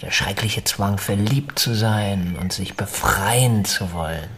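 An actor in a German radio drama playing an upper-class husband, possessive and anxious, with an insistent, mannered way of talking. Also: male voice, on the low side, slow, fairly bright tone, slight background noise. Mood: pleading, condescending.

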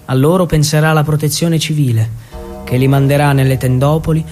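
A man with a high voice tells a story as Italian radio theatre. His delivery is declamatory and deliberate, clearly scripted for the stage. Faint music runs beneath the words. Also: steady tone, darkish tone. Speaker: actor and narrator of a theatre monologue.

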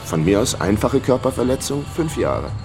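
A man's voice: medium pitch, sing-song, moderate pace, creaky, music in the background. An actor playing a wry, down-on-his-luck amateur sleuth who narrates a radio crime drama with dry sarcasm. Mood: matter-of-fact. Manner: dry, sardonic. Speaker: narrator and lead character.

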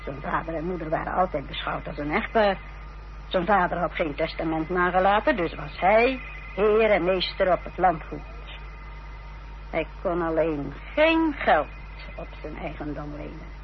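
A woman's voice: fairly low pitch, lively intonation, moderate pace. An actress playing an unrepentant village wife in a Dutch radio play, telling her story in a self-justifying way. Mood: matter-of-fact, confiding. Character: unrepentant, defiant village wife confessing her part in a fraud. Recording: dull old radio recording with hiss and hum.

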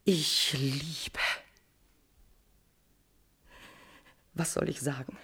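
An actress playing a tormented, lovesick queen in a classical verse radio tragedy, declaiming with passion and slipping between breathy whispers and swelling outbursts. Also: sits low, steady tone, slow pace, bright, forceful tone, exaggerated voice. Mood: ashamed, agitated.

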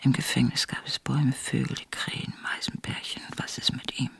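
A woman's voice: rumbling low, little pitch movement, moderate pace, gravelly, a bit bright, breathy edge, no echo, in a whisper, exaggerated voice. Radio drama: anguished, defiant woman in soliloquy, intense and intimate, narrow melody, dry studio sound. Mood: whispered, bitter. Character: anguished, lonely revolutionary woman, grieving, bitterly defiant. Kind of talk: literary radio play, soliloquy and narrated stage directions.

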